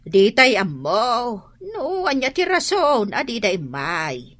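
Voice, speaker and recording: Husky, expressive village mother. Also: fairly high pitch, slow pace, dry recording, heavily acted. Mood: emphatic, defensive. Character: caring, concerned mother in a village drama. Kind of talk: radio drama acting.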